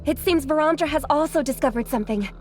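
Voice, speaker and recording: A woman's voice: high voice, fast talker, darkish tone, natural voice. A woman voicing a polite, loyal travelling companion in a video game, courteous and earnest.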